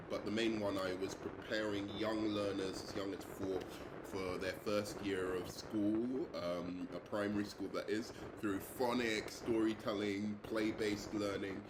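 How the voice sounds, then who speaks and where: A young English man with a London accent, introducing himself as an English teacher, with frequent ums and uhs. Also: lowish voice. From England. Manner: hesitant, many ums and uhs. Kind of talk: self-introduction video. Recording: echoey room with noise.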